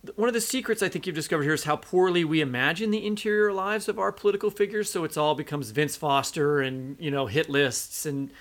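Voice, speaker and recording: A man co-hosting a literary podcast, talking through his thoughts in a halting, conversational way. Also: a little high, a bit bright. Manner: halting, conversational, thinking aloud. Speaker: podcast co-host. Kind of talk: literary interview discussion.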